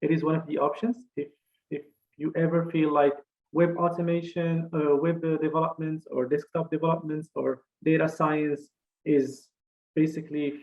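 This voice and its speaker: A man's voice: a little high, little pitch movement. Hesitant young man on a muffled call. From Morocco. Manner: halting, many uh fillers and restarts. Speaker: career fair speaker. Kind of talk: online career talk presentation.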